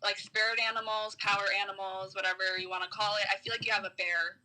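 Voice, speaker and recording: A woman doing a casual spiritual card reading as a podcast guest, explaining in a halting, giggly, conversational way. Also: mid-range voice, little pitch movement, pushed and bright, rough recording. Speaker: tarot reader, podcast guest.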